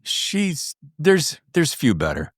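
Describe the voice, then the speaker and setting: A man's voice: fairly high pitch, huge pitch swings, slow, dry recording, clean studio sound. A middle-aged American man hosting a podcast in a casual, slangy, effusive way. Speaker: podcast host. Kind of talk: casual podcast interview chat.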